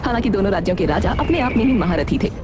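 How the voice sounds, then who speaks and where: A woman's voice: medium pitch, expressive, very fast, heavy background noise. A woman narrating a scripted fairy tale in storybook style. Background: music.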